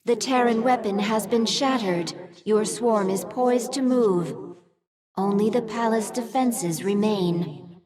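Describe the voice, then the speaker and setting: A woman's voice: mid-range voice, slow, crowd noise, natural voice. An actress playing a loyal, martial alien brood queen in a game cutscene, reporting formally and solemnly. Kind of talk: video game cutscene acting.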